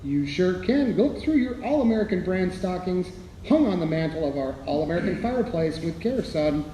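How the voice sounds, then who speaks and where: Jolly radio-ad father, man acting: high, mellow voice, folksy salesman cheer, roomy sound. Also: sing-song, normal speed. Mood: cheery. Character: jolly wholesome 1950s father pitching products. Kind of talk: vintage radio commercial acting. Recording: roomy echo, faint noise.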